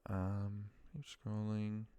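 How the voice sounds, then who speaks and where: A man's voice: on the low side, completely flat delivery, drawn-out words, warm tone, clear, resonant tone, no room sound. A man giving a casual, unscripted screen tutorial as a trainer, thinking aloud informally and trailing into mumbled asides, close to the mic. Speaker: trainer demonstrating a data task.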